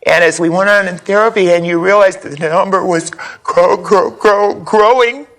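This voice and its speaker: A woman giving personal testimony in an interview, halting and reflective as she speaks. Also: mid-range voice, poor audio. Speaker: interviewee, abuse survivor.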